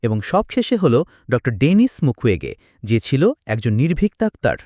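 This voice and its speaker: A man's voice: mid-range voice, big pitch swings, moderate pace, dark tone, no echo, studio quality. A man voicing a clever young lamb who persuades with confidence in a cartoon. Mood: explanatory.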